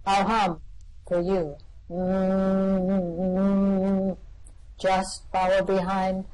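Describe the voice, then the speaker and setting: An actor playing a bodiless custodian, calm, deadpan, unhurried, mid-pitched. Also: a little hiss or hum, rough recording. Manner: slow, deadpan, matter-of-fact. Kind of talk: amateur radio-play reading.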